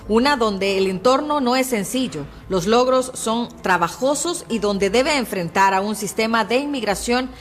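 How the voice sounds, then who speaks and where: A woman hosting a television program, delivering a scripted introduction to the audience at home. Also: a little high. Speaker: TV program host. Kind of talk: scripted TV presentation.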